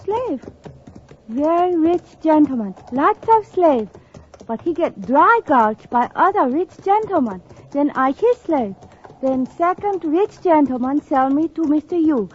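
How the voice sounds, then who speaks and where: A woman's voice: high-pitched, expressive, a little slow. An actress playing a humble, devoted young Chinese slave woman in a 1950s radio western, speaking short, broken English with a Chinese accent. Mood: meek, earnest. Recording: dull, noisy 1950s radio recording.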